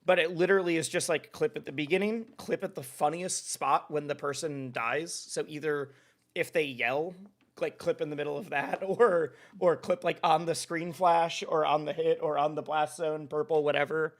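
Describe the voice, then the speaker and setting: A man's voice: a little high, fairly even intonation, moderate pace. A young man hosting a live stream, chatting casually and laughing often as he reads out follows. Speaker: streamer host.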